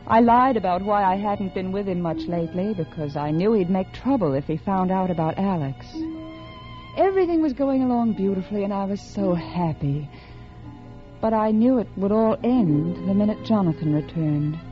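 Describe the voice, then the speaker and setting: A woman's voice: medium pitch, expressive, normal speed, close to an everyday voice. An actress playing a restless married woman confessing a secret affair in polished, intimate 1940s radio drama style. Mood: confessional, brooding. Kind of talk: 1940s radio drama acting, first-person confessional narration. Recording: dull old radio recording with music.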